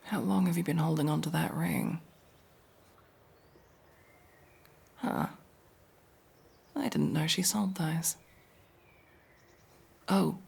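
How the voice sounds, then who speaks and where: A woman playing a masked tsundere elf mercenary in an intimate ASMR roleplay, speaking in a hushed, halting, breathy and husky voice. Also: low-pitched, full character voice. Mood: flustered, tender.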